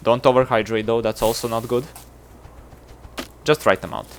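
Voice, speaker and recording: A man's voice: medium pitch, steady tone, moderate pace, slight background noise. A man from Serbia with a Serbian accent, chatting casually to his viewers while streaming a game, rambling and self-deprecating. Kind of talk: casual livestream chatter with chat.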